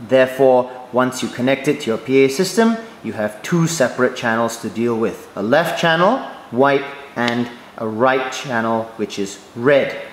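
A man giving a step-by-step tutorial on church sound equipment, explaining clearly and methodically. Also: mid-range voice, animated, normal speed, hardly any pauses. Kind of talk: instructional voice-over. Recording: echoey room, faint noise.

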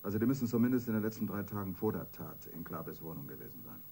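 An actor playing a dry, dismissive police inspector in a colloquial radio crime drama style. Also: male voice, sits low, big pitch swings, fast talker, gravelly, mellow, breathy edge, full character voice. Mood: pleased. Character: gruff, sardonic male police inspector.

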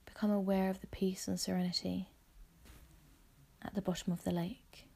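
Woman meditation guide, soft and husky, mellow tone, creaky edges, very even pitch, calm gentle phrasing. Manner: gentle, calm, soothing, measured phrases with pauses.

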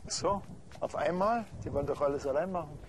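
A man's voice: fairly high pitch, brisk, background noise, natural voice. A man with a Bavarian accent playing a blunt, sardonic village policeman in a TV drama, offhand in manner.

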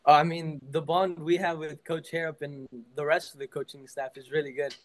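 A teenage boy, a high school soccer player, answers interview questions over a video call from a small room at home. He talks in a relaxed way, leaning on fillers like "like" and "I mean". His voice sits a little high for a man. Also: fairly quick, poor audio. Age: teens.

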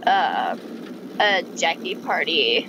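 A woman voicing a laid-back young female crocodile in a cartoon game, speaking in a flat, dry way. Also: takes it slowly, highs cut off, noisy background. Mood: dry, deadpan. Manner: low, flat, dry delivery.

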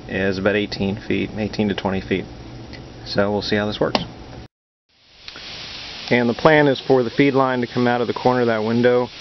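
A man talking casually and matter-of-factly through a how-to project, like a hobbyist showing his work. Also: on the low side. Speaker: amateur radio hobbyist, video maker. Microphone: handheld camera's built-in mic. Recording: dull camera-mic audio with clear hiss and hum.

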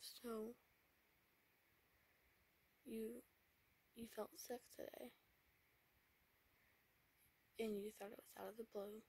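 A woman voicing an anxious, tender young boyfriend in a whispered romance roleplay, speaking softly with nervous stammers. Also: on the high side, little pitch movement, slow pace, long pauses, husky, heavily acted, poor audio. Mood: worried pleading.

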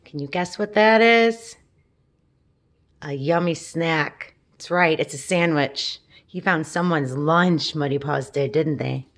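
A woman reading a children's picture book aloud, gently, with asides and questions to young listeners. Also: deep voice, takes it slowly. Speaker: storybook reader.

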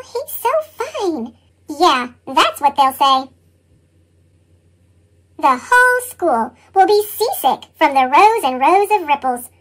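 Squeaky, high-pitched cartoon chipmunk storyteller. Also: female voice, fairly bright tone, heavily acted. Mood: giggly whispering. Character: perky little cartoon chipmunk telling a story. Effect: sped-up chipmunk pitch shift. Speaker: storybook reader. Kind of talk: read-aloud picture book narration.

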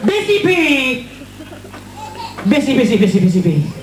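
A cheeky old pantomime mother played by a man, high-pitched and swooping about in exasperation. The delivery is broad and brash, on a noisy, echoey stage recording. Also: sing-song, unhurried, far from the mic, crowd noise, exaggerated voice. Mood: theatrical. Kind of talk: amateur pantomime comedy double act. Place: stage in a hall, handheld microphone, audience ambience.

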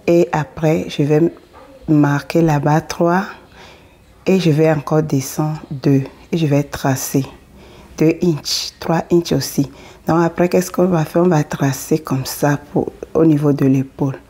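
Low, husky and gravelly female voice with a head cold; plain step-by-step sewing tutor, not a native French speaker. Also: fairly even intonation, measured pace, dark tone. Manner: plain step-by-step explaining, often asks the viewer if they follow. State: has a cold, hoarse. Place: indoors at a table.

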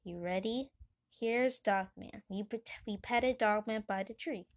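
A woman voicing a kind little child, gentle, eager and reassuring, in a children's comic story. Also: medium pitch, measured pace, natural voice. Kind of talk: children's comic read aloud.

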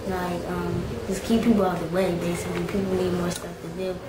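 A woman's voice: on the low side, flat delivery, fairly quick. A young woman speaking plainly to camera about her community. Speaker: youth program member. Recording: echoey room, distant mic, background noise.

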